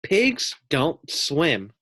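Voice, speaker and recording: A man's voice: fairly high pitch, wildly swooping pitch, very slow, fairly bright tone, no room sound, clean studio sound, voice pushed up, full character voice. A man voicing a small, hopeful, daydreaming guinea pig in a children's storybook, with simple earnest wonder. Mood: puzzled, resigned. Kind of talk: storybook read-aloud for children.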